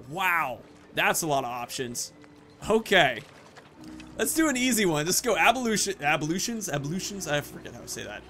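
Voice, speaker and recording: A man's voice: high-pitched, fairly bright tone. A man hosting a gaming video, giving casual commentary and thinking aloud about his moves and choices. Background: video game music.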